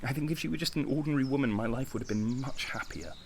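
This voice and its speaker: A man acting an impassioned, insecure young artist in an audio drama. His voice sits at a middling pitch and his delivery is intense, agitated and bitter, broken by dramatic pauses. There is faint noise on the recording. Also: lightly acted. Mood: wounded, self-pitying. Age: young adult.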